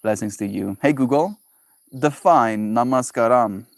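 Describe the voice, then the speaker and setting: A man's voice: mid-range voice, measured pace, creaky, no echo. Playful man, dry sound. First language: Afrikaans. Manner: playful, musing aloud, savoring and repeating words. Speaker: livestreamer. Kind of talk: casual solo livestream chat.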